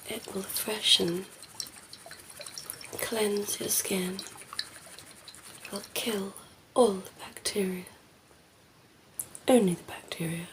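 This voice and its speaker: A woman voicing a cheeky, flirtatious female vampire in an ASMR roleplay, breathy and often whispering, teasing and cooing with condescending affection. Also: mid-range voice, noisy background, natural voice. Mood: teasing, soothing.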